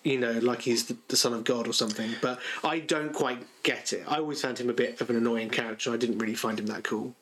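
A man's voice: mid-range voice, steady tone, fast talker, few pauses, fairly bright tone. A man co-hosting a casual podcast, giving firm opinions and explaining things at length. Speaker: podcast co-host. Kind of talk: casual chat about cartoons and comics.

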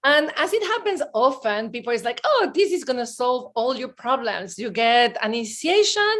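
A woman in her forties, born in Belgium, a podcast guest telling lively stories and acting out her past self in excited bursts. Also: fairly high pitch, normal speed. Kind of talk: casual interview chat.

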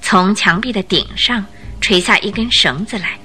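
A woman giving expressive, suspenseful scripted narration for a detective radio drama. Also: mid-range voice, moderate pace, breathy edge, soft background music.

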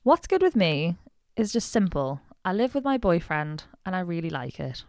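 A woman's voice: fairly low pitch, very animated, normal speed, dry recording, clean studio sound. A young woman co-hosting a casual podcast, self-deprecating and giggly, speaking while ill with a cold. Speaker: podcast co-host. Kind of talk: casual chat.

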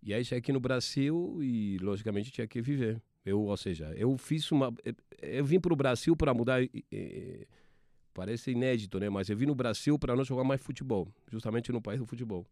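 A man in his forties from Argentina, speaking with an Argentine Spanish accent, a TV sports producer telling his career story in halting speech with many restarts. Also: mid-range voice, darkish tone, no room sound, studio quality. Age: 40s.

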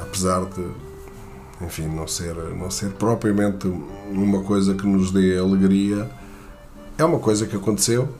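A man from Portugal with a European Portuguese accent, telling a personal anecdote in a halting way, with many fillers and restarts. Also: fairly low pitch, darkish tone.

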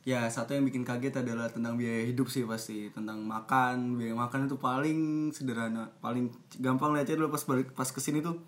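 A man's voice: medium pitch, fairly even intonation, a little fast, hardly any pauses. A young man from Bekasi chatting casually on a podcast as a student guest, slangy and advice-giving. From Bekasi, West Java. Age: young adult. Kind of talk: casual chat.

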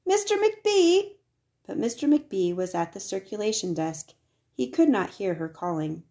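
Low-pitched woman reading a children's storybook. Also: moderate pace, creaky. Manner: expressive, acts out the dialogue. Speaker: storybook reader. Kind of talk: scripted narration, reading a children's picture book aloud.

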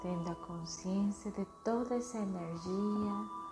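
A woman leading a guided meditation in a soothing, unhurried way, with short phrases and long pauses. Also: medium pitch. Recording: music behind the voice. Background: meditation music.

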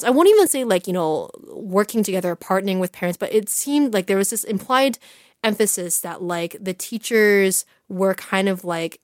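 A woman who teaches Chinese, telling a personal story in a relaxed, candid way with many "like" and "you know" fillers. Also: mid-range voice, no room sound, studio quality. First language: English. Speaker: Chinese language teacher, podcast guest. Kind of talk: casual conversational storytelling.

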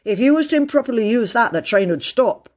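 A woman's voice: fairly high pitch, expressive, a little fast, a little mellow, voice pushed up, natural voice. A woman voicing a chatty male railway porter in a children's storybook, explaining things in colloquial working-class phrases. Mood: chatty, anecdotal.

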